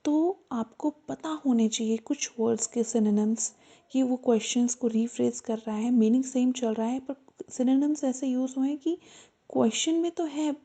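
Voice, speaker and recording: A woman tutor giving an explanatory lesson to students, earnest and repeating her reminders, slowing down to spell out the key points. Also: a little high, steady tone, warm tone. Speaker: exam-prep tutor.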